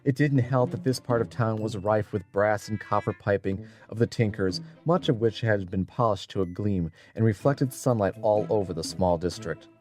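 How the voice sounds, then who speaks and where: A man's voice: fairly low pitch, moderate pace, few pauses, a little mellow, soft background music. A man narrating a dramatic audiobook from a script as a storyteller.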